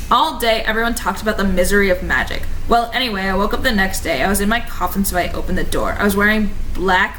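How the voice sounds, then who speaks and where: A young adult woman reading fan fiction aloud in an irreverent, animated, mocking way. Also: mid-range voice. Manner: animated, irreverent, skims with exaggerated repetition. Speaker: reader and presenter. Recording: noisy home recording.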